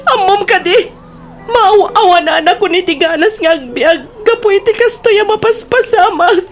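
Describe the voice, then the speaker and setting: A betrayed wife, crying in a very high voice with an Ilocano accent. She speaks slowly and heartbroken, over faint music. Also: animated. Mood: anguished. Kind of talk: tearful Ilocano radio drama acting.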